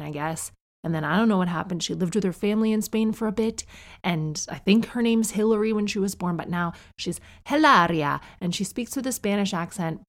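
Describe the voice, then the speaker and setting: A woman in her thirties from Canada with a neutral North American accent, hosting a podcast in a chatty, gossipy monologue with quick asides. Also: fairly low pitch. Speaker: podcast host. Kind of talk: casual monologue.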